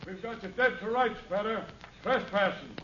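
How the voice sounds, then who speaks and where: High-pitched sneering Western villain. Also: male voice, expressive, moderate pace. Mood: menacing. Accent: American. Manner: taunting, commanding. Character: menacing, sneering Western land baron with hired gunmen. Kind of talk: 1950s radio drama acting. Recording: muffled, noisy old radio recording.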